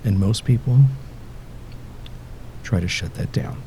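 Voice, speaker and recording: A deep male voice with a gravelly fry guides the listener toward sleep as a hypnotist. He speaks in short, measured, soothing phrases with pauses, the endings softening almost to a whisper. A noticeable bed of rumbling, ticking noise runs behind him. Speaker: hypnotist guiding a sleep session. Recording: steady background noise, low rumble and ticking. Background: ticking clock-like rhythm.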